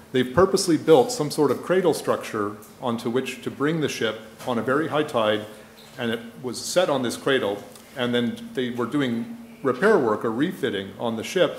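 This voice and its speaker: An echoey indoor recording of a middle-aged man presenting at a conference. He speaks at an ordinary male pitch into a lectern microphone. His delivery is explanatory and informal, with pauses as he searches for the next phrase. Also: slight background noise. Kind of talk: public lecture with slides. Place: indoor lecture room. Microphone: gooseneck lectern microphone. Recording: echoey room sound.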